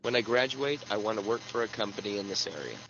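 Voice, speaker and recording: A man reading a scripted textbook listening passage slowly and clearly. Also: fairly low pitch, expressive, fairly bright tone. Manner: slow, clearly enunciated reading. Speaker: listening exercise recording. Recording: lo-fi playback with background noise.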